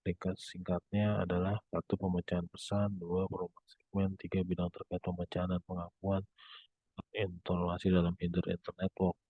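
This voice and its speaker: A young man reading a scripted academic presentation as a student, in a monotone with occasional stumbles. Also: low voice, normal speed, slightly rough, dry recording. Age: young adult. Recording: muffled phone-like narrow band sound.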